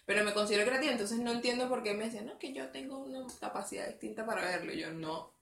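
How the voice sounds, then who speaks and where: A young woman with a Venezuelan accent chatting casually as co-host, chatty and quick to agree. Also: fairly high pitch, gravelly, a bit bright, roomy sound. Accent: Venezuelan Spanish. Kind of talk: casual chat.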